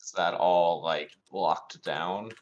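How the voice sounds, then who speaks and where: A man's voice: low voice, fairly even intonation, slow pace. A man chatting casually as a player in a tabletop roleplaying game, on a lo-fi voice channel.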